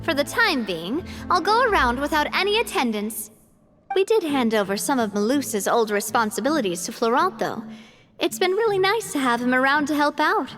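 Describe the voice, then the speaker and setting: An actress voicing a young woman who leads a trading guild, talking in a warm, reflective, earnest way. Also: sits high, very animated, normal speed. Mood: breezy. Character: young woman guild president, upbeat yet wistful. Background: game background music.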